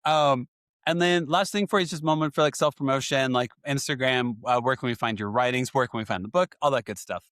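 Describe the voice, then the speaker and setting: A man hosting a podcast, asking questions in an easygoing, jokey way with quick affirmations. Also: medium pitch, fairly bright tone, dry recording, studio quality. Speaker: podcast host. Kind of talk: casual podcast interview.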